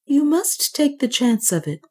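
A gentle, selfless male priest, as a woman narrator puts him on. He speaks clearly and softly, in a raised, lilting voice with a wide swing of pitch. This is a storybook reading. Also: very animated, measured pace. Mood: gentle resolve.

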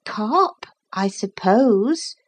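A woman's voice: mid-range voice, animated, very slow, husky, voice pushed up, full character voice. A woman voicing a young boy in a storybook, earnest, curious and polite, with an eager, questioning way of talking. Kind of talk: storybook character voice in a read-aloud.

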